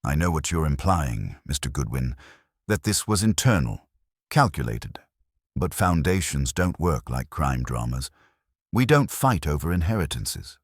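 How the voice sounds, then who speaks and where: Man voicing a guarded, very deep-voiced executive. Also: very animated, unhurried, slightly rough, no room sound, clean studio sound, full character voice. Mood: defensive. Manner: guarded, vague, managerial. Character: cautious, polished foundation executive in his forties. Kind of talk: audiobook detective mystery dialogue.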